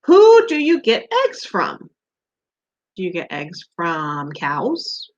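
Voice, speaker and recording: A woman's voice: lowish voice, wildly swooping pitch, takes it slowly. A woman teacher leading a read-aloud quiz for young children, asking simple questions and giving encouragement in a slightly dull, lo-fi recording.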